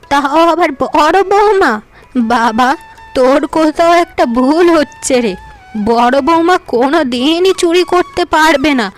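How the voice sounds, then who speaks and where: An elderly village mother-in-law in a cartoon, kind and protective, as a woman voices her. Her voice sits high and rises sharply when she takes a stand. Faint music plays underneath. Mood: disbelieving.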